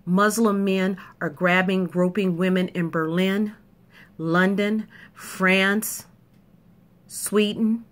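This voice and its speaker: A woman's voice: mid-range voice, fairly even intonation, slow. A woman delivering an emphatic, punchy opinion monologue to camera, repeating points for effect. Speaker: video commentator.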